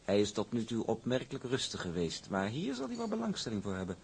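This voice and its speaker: A confident, calculating shipping boss in a radio drama. His low voice rises and falls in wide swings. He sounds self-satisfied, emphatic and deliberate. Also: huge pitch swings, gravelly, slight background noise, heavily acted. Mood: smug scheming. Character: smug, calculating shipping magnate, confident and scheming.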